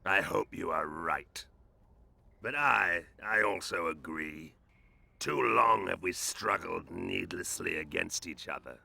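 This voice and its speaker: This is a grim male judge from a fantasy game, with a low, slow voice full of gravel. He is stern and guarded, and every word has a hard, forceful edge. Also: no echo, exaggerated voice. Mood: grudging agreement. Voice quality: gravelly, creaking. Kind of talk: fantasy video game acting.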